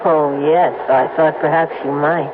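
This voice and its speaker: A slow, teasing siren of a woman in a vintage radio mystery. Her voice sits low and purrs with easy confidence. The sound is thin and phone-like, buried under a loud hiss. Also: fairly even intonation. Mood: seductive. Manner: purring, teasing, unhurried. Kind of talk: 1970s radio drama acting. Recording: tinny lo-fi old radio broadcast with loud hiss.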